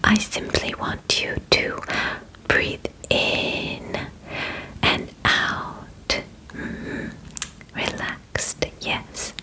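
A young woman in a soft, breathy whisper, speaking calmly and clinically in an ASMR examination roleplay, close to the microphone. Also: low-quality recording. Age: young adult. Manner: slow, calm, clinical, formal phrasing. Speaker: ASMR performer. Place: small bedroom, indoors. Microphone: close microphone, out of frame.

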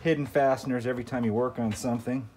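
A man's voice: medium pitch, fairly even intonation, fast talker. A man giving a casual hands-on repair walkthrough, thinking aloud in a folksy way as he works. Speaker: host of a tinkering channel. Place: indoors at a work table. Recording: clear background noise, clicks and handling sounds.

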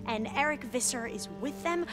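An actress playing an excitable young woman scholar in a video game, earnest and gushing, rambling as she goes. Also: sits high, lightly acted. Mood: awed, flustered. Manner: gushing, halting and self-correcting when flustered. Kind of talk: video game dialogue acting. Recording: loud music behind the voice. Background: music.

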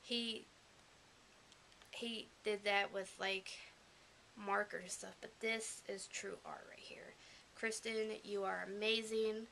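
A young woman with a regional American accent, gushing softly and emotionally as she unboxes a gift in a small room at home, filmed on a webcam. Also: medium pitch, flat delivery, takes it slowly, vocal fry, long pauses, a little hiss or hum. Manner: gushing, emotional, soft-spoken and rambling. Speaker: vlogger. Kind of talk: casual unboxing vlog.

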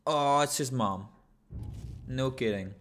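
A young man chats through a game in a playful, joking way. His voice sits at a middle pitch. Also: slow pace, fairly bright tone. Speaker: gaming youtuber. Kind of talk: casual let's-play commentary.

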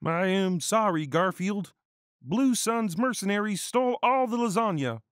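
A man puts on an anxious, apologetic space councilman. The voice sits well above a normal male pitch, formal in manner, in a dry recording for a comic story. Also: animated, moderate pace, no room sound. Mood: worried.

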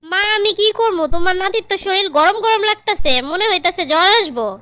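A woman's voice: extremely high pitch, a little fast, pushed and bright, no echo, studio quality. A woman voicing a pampered young married daughter in a comedy cartoon, girlish and coaxing, with a Bangladeshi accent. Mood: worried, helpless. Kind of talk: comedy cartoon dialogue in colloquial dialect.

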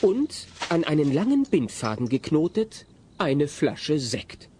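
A man narrating a German radio play as a scripted storyteller, with an expressive, wry delivery. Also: a little high, slow, a little hiss or hum.

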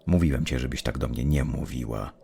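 A man's voice: extremely low pitch, fairly quick, creaky, mellow, slightly rough, voice pushed down, heavily acted. A man reading a cold, distant middle-aged father in an audiobook, in a curt, stern manner.

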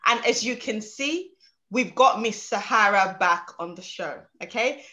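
A woman's voice: mid-range voice, animated, a little slow, pushed and bright. A young adult woman hosting an interview show over a video call, emphatic and forever tagging her lines with "okay?". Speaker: show host. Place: home room, indoors.

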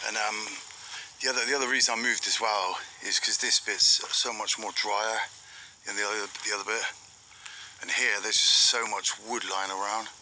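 A young British man vlogging outdoors in a forest on a handheld camera, talking in a relaxed, rambling way with many pauses and fillers. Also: on the low side, a little hiss or hum, rough recording. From Britain. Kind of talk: casual outdoor vlog narration. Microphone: handheld action camera.

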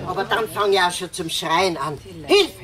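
An actress playing an agitated, indignant older housewife with a Viennese accent in a radio play. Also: female voice, fairly low pitch, moderate pace, lightly acted. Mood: agitated recounting. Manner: excitable, dialect-speaking, dramatic. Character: agitated, indignant 64-year-old Viennese housewife, attack victim. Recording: crowd murmur behind.

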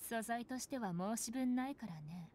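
A woman's voice: a little high, expressive, moderate pace, a little mellow, a little hiss or hum, close to an everyday voice. An actress playing a cool, detached female fighter in an anime game, speaking in a matter-of-fact way. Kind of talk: Japanese anime game voice acting.

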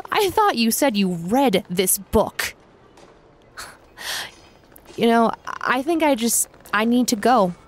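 A woman playing a weary, guarded former dark army officer in a fantasy audio drama, speaking haltingly with sighs. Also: on the high side, very animated, exaggerated voice. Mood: troubled, defensive. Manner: halting, sighing.

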